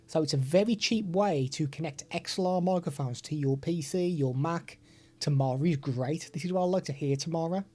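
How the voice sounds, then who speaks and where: A man's voice: on the high side, normal speed, darkish tone. A man from the United Kingdom with a British accent, hosting a live stream and explaining a product step by step while chatting with viewers.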